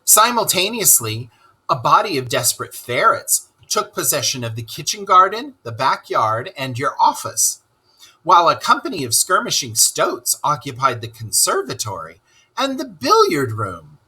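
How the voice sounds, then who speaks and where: A man narrating a children's story aloud in an expressive storytelling style. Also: a little high, normal speed, husky, low-quality recording.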